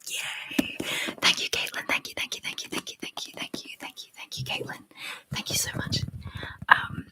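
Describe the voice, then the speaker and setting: A woman hosting a whispered ASMR live chat, reading viewer comments aloud and softly repeating phrases over and over. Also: normal speed. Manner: reads viewer comments aloud and answers them. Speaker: ASMR livestream host.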